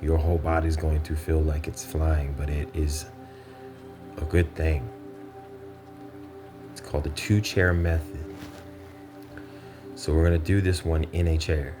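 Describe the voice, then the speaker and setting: A man leading a guided meditation, giving measured instructions with pauses between phrases. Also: a bass voice, little pitch movement, music in the background.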